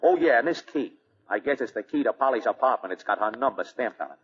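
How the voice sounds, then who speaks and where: A man's voice: medium pitch, expressive, fast talker, slightly rough. A man acting a plain-spoken, obliging police detective, clipped and matter-of-fact, in 1940s radio style. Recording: dull lo-fi 1940 radio broadcast.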